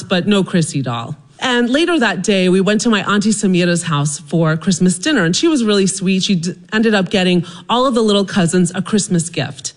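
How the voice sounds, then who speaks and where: Woman telling a funny story on stage. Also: medium pitch. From Maryland, USA. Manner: humorous, animated, self-deprecating. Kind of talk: live personal storytelling on stage. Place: stage before a live audience.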